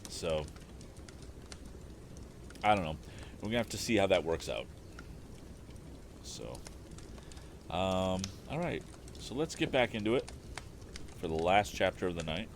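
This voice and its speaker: Man, deep voice, casual livestream host, reader, halting thinking-aloud delivery, faint background noise. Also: big pitch swings. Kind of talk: casual stream chat and book narration.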